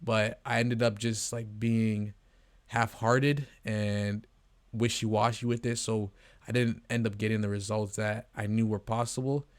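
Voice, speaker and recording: A man's voice: on the low side, little pitch movement, unhurried, no room sound. An eighteen-year-old man from Canada talking casually to camera in a rambling, earnest way, with many fillers and restarts. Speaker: vlogger, agency co-founder. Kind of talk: casual talking-head vlog.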